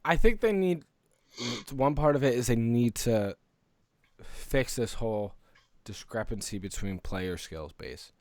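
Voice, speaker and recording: A man's voice: mid-range voice, animated, a little slow, darkish tone, no echo. A man co-hosting a casual gaming podcast in an earnest, rambling way, close to the microphone. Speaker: podcast co-host.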